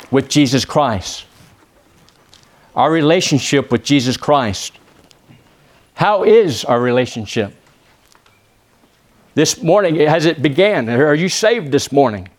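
A man from Texas with a Texan accent, preaching a church sermon and praying, often pausing with "uh" and asking the congregation for an "amen". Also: medium pitch, lively intonation. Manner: peppers phrases with "amen?" asking the congregation to answer; halting, with many "uh" pauses and restarts. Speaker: visiting preacher.

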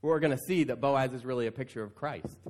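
A man's voice: mid-range voice, fast talker, a little mellow. A male pastor preaching in a conversational style, stretching key phrases for emphasis. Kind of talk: conversational sermon.

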